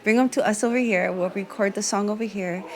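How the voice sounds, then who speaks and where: A woman's voice: medium pitch, brisk. A woman from Hawaii who leads music workshops, speaking reflectively in a documentary interview and mixing Hawaiian words into her English. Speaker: music teacher and workshop leader.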